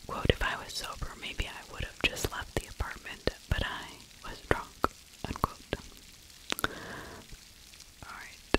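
A middle-aged woman narrating true crime as ASMR in a breathy, unvoiced whisper, close to the microphone, conversational and opinionated, with frequent pauses. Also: poor audio. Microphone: close microphone with furry windscreen.

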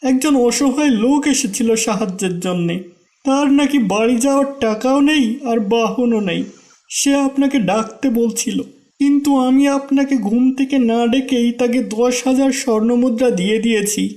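A man's voice: very high-pitched, unhurried, a little mellow. A man voicing a loyal, humble servant in an Islamic moral story cartoon, speaking politely and deferentially. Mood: respectful, earnest. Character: loyal, humble male servant of a generous master.

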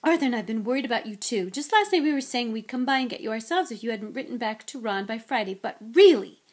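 Woman acting a sharp, bossy mother witch. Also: fairly high pitch, expressive, fast talker. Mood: fretful reproach. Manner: sharp, loud, exclaiming. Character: bossy, scolding mother witch who fusses fondly. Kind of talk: audiobook character acting.

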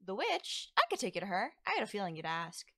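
A woman acts out a playful young forest witch for an ASMR roleplay. Her pitch swings expressively as she teases, coaxes and reassures the listener. The sound is close and dry. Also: big pitch swings, a bit bright, no room sound. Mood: playful, teasing. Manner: coy, soothing, conversational with drawn-out words. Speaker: roleplay voice actor.

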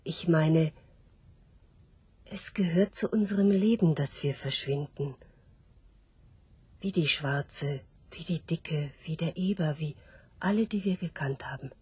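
A philosophical sow in a radio play. Her voice is lowish and very husky, full of breath. She speaks in a solemn, measured way, with quiet hope. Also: no room sound, exaggerated voice. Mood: solemn, resigned. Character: hopeful philosophical sow awaiting her disappearance. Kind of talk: literary radio drama acting.

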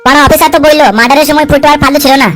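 Casting: woman playing cheeky male sidekick, comedy cartoon. Very high, racing delivery, sly, swearing, argumentative. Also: fairly even intonation, lightly acted. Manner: chattering, argumentative, coarse, quick with excuses. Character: wily, cheeky male sidekick and schemer, foul-mouthed. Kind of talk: comic cartoon dub dialogue.

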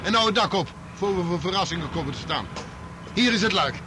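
A gruff, aggressive criminal man in a Dutch radio play, with a Netherlands accent. His high-pitched voice is coarse, sarcastic and bossy. A clear noisy rumble sits behind it. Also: expressive, brisk. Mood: gruff, commanding. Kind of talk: radio drama acting.

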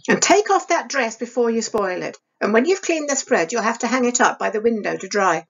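A woman's voice: a little high, animated, a little fast, slightly rough, dull audio, pitched above the performer's own voice. A woman voicing a stern, bitter stepmother in a storybook reading, harsh, reproachful and emphatic. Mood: angry, bossy.

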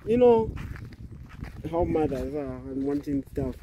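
A man's voice: a little high, sing-song, unhurried, gravelly, lo-fi sound. Man explaining over outdoor noise. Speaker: farm guide, guest. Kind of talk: casual explanatory chat. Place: outdoors on a small farm. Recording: noisy outdoor farm recording. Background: birds, chickens, outdoor noise.

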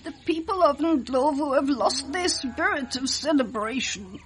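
A woman's voice: high-pitched, lively intonation, unhurried, voice pushed up. A woman voicing a despondent village elder in a storybook, with a plaintive, sighing manner.

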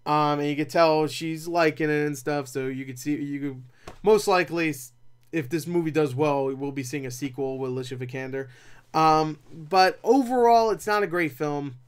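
A young man reviews movies in a voice pitched a little above average. He talks casually and without a script, rambling along with animated asides and a self-deprecating streak. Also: moderate pace, clear, resonant tone. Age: young adult. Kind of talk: casual unscripted review chat. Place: small cluttered room at home, indoors.

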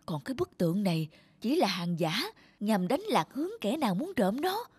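A sly, hushed cartoon thief. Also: male voice, sits high, sing-song, normal speed, breathy edge, no room sound, heavily acted. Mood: scheming, urgent. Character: sly, scheming male thief, the bossy insider. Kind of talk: cartoon dialogue.

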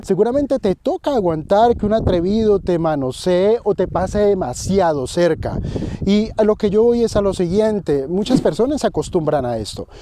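Bright-voiced Colombian man explaining earnestly. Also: high voice, no echo. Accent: Colombian Spanish. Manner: earnest, explanatory, addresses viewers directly. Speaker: finance vlogger. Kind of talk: direct-to-camera commentary. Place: outdoors by a lake in winter. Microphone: handheld camera close to face.

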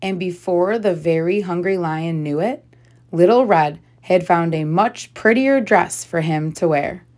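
Slow-paced woman reading a storybook. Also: on the low side, darkish tone. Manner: deliberate, emphasizes and stretches key words. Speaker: storybook reader, teacher. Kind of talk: children's read-aloud narration.

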